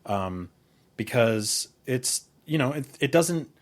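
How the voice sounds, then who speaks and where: A man's voice: medium pitch, lively intonation. Casual male podcast co-host, middle-aged, mid-range voice, loose and meandering delivery. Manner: rambling, halting, full of colorful comparisons. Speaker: podcast co-host, video host and writer. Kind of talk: casual conversational podcast chat.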